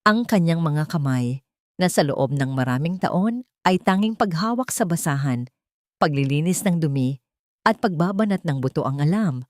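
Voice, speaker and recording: A woman's voice: low voice, a little mellow, no room sound, studio quality. A woman narrator reading a dramatic scripted story in a solemn, emotive way.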